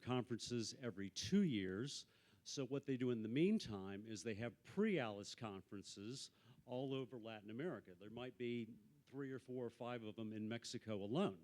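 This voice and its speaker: Male conference participant, average pitch, measured and matter-of-fact, explaining informally. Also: darkish tone.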